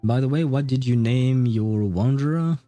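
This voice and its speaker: Male game streamer, soft mellow tone, dry close sound with music underneath, loose rambling chat. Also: mid-range voice, normal speed, no room sound. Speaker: livestream host.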